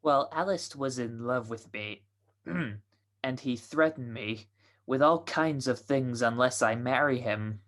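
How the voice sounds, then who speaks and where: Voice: medium pitch, slow pace, fairly bright tone. A performer playing a naive, muddled young would-be femme fatale in a radio detective drama. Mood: earnest, muddled. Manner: hesitant, muddled.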